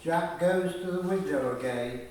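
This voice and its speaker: A man's voice: on the high side, measured pace, darkish tone, a little hiss or hum. A man reading scripted stage directions as the narrator of a radio play. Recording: very echoey room, slightly distant mic.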